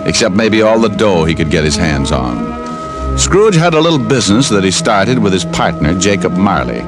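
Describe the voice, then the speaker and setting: A man's voice: sits low, big pitch swings, moderate pace. A man narrating a radio play in a breezy, slangy, wry storytelling manner. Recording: old radio with music.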